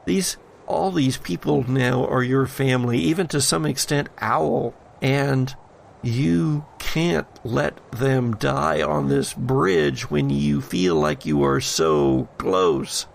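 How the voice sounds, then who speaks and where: Guy running a horror rpg, slow suspenseful narration, gravelly voice, bit of background noise. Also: medium pitch, expressive. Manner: deliberate, suspenseful, with drawn-out pauses. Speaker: game master. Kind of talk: dramatic tabletop horror narration.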